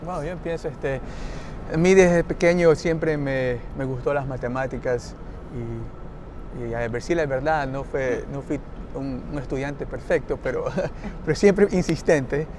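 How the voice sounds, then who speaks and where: A man's voice: mid-range voice, measured pace, noisy background. A man with an Ecuadorian Spanish accent, an engineer in a roundtable conversation, who talks with many restarts and laughs easily. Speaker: NASA engineer.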